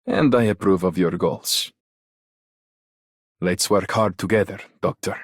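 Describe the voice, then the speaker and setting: A man's voice: low-pitched, measured pace, husky. An actor playing a plain-spoken, encouraging weapons craftsman and mentor in a video game. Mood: friendly, approving. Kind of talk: video game character voice lines.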